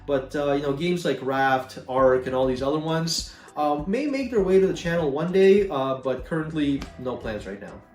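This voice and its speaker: A man's voice: on the high side, normal speed, hardly any pauses. A young man from Ukraine with a Canadian accent and a slight Slavic tinge, chatting casually as he answers viewer questions with lots of fillers. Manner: relaxed and chatty, many fillers. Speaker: gaming YouTuber. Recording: roomy echo, a bit far from mic, faint music.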